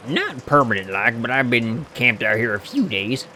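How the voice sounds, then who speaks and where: A man's voice: mid-range voice, sing-song, pushed and bright, slight background noise. Man doing a folksy hobo drifter. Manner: folksy, teasing, unhurried. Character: folksy friendly male hobo drifter, joking.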